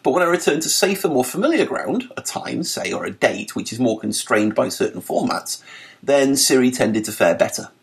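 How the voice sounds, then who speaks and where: Man reading an essay with lively pitch. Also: normal speed, creaky. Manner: lively, articulate reading with dry wit. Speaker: podcast narrator. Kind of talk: scripted narration of an article.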